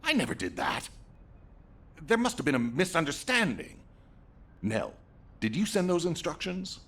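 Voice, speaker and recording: An actor playing a stern, impatient military commander in a video game, authoritative, with a gravelly voice. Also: male voice, a little high, wildly swooping pitch, normal speed, a bit bright, full character voice. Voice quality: gravelly, husky. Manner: authoritative, clipped.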